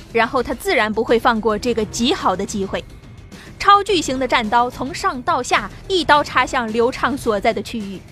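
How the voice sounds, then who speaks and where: Female audiobook narrator, high voice, steady vivid delivery, faint music under it. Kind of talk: scripted novel narration.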